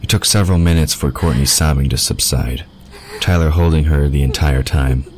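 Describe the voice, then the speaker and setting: A man with an extremely low voice narrates a fantasy audio drama from a script. Faint noise and ambient effects sit behind him. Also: normal speed, darkish tone. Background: ambient sound effects.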